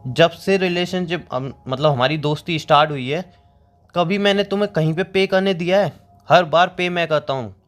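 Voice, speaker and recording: A man's voice: fairly high pitch, normal speed, faint music behind, lightly acted. A young man playing a wronged friend in a phone-call drama, defending himself in an earnest, insistent way. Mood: defensive, hurt.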